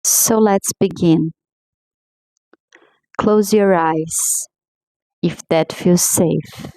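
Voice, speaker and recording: Slow, soothing woman meditation guide. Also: fairly low pitch, fairly even intonation, dry recording, low-quality recording. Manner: slow, soothing, gently paced.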